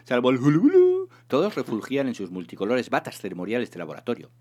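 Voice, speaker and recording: A man reading a humorous science fiction novel aloud in an expressive, theatrical narration. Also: medium pitch, fast. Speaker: reader of a serialized humorous sci-fi novel.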